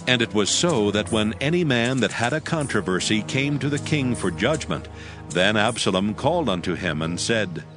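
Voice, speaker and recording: A man narrating a dramatized scripture reading in a solemn, measured manner. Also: lowish voice, lively intonation. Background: music.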